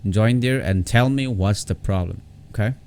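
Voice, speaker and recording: Male tutorial vlogger, very low creaky voice, informal and halting, repeats himself, faint background noise. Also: darkish tone. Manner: halting, repeats words and phrases. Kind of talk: informal step-by-step software tutorial. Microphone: separate desktop condenser microphone.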